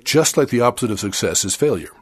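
Husky older man giving a sermon. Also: medium pitch, expressive, quick pace. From Chile. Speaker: church speaker. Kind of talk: formal sermon.

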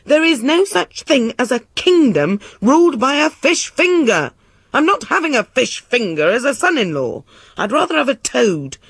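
A reader voicing an irascible, bossy old king in a storybook, shouting indignantly and emphatically. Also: medium pitch, big pitch swings, fairly quick, creaky, few pauses, breathy edge, no echo, heavily acted. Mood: outraged. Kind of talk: storybook character voice.

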